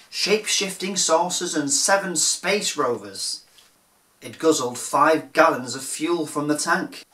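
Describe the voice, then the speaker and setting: A man reads a rhyming picture book to children as a storyteller. His delivery is slow and theatrical, with a bright, forward tone. The recording has a roomy echo. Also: medium pitch. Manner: theatrical, deliberate.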